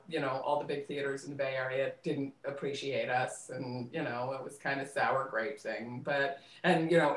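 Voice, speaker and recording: Middle aged woman in an interview, echoey room, kinda halting, repeats words while she thinks. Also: low voice. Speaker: guest, theater director and actress. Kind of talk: conversational interview recollection. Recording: roomy, echoing voice pickup.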